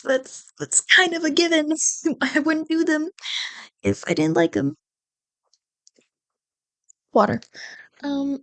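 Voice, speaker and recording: Female creator, off-the-cuff and rambling, slightly gravelly with fry, unhurried, self-mocking, casual swearing, close dry mic. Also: fairly high pitch, fairly bright tone, no room sound. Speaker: ASMR roleplay audio creator. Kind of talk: casual rambling chat to listeners.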